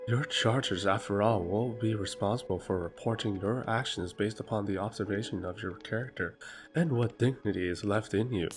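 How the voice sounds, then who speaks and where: Man playing a low-voiced, haughty royal emissary. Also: normal speed, lightly acted. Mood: mocking menace. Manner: condescending, mocking, formal. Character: haughty, needling male royal emissary, smooth villain. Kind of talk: fantasy audio roleplay acting. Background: music.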